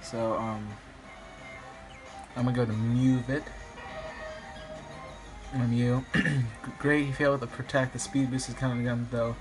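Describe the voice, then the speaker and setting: Young man, slightly raspy, a bit sick, mid pitch, rambling game commentary, music behind. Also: flat delivery, measured pace, long pauses, low-quality recording. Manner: rambling, hesitant, many ums. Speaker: gaming YouTuber. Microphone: phone filming a screen.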